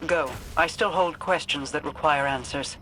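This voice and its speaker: A woman playing a regal, cryptic and imperious otherworldly queen in a video game, speaking in measured, formal, oracular phrases. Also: deep voice, background noise, natural voice. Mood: cold, ominous. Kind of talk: scripted video game dialogue.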